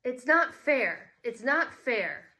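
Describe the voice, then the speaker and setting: A woman's voice: high-pitched, big pitch swings, slow, sharp tone, voice pushed up, heavily acted. A woman voicing a stubborn, indignant schoolboy in a storybook, complaining insistently. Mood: indignant yelling.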